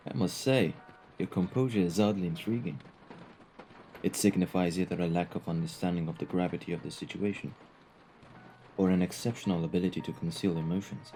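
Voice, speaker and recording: A man acting out a very low-voiced gentleman of the mafia for an audio roleplay. He is cultured and courteous, choosing formal, eloquent words in a measured way. A calm menace sits under the politeness, with faint music playing behind him. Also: close to an everyday voice.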